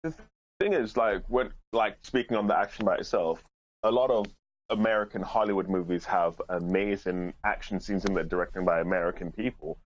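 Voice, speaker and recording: A man with a deep, low voice gives his opinions in a casual podcast discussion. The audio is dull and lo-fi, like a voice chat. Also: normal speed. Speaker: podcast panelist.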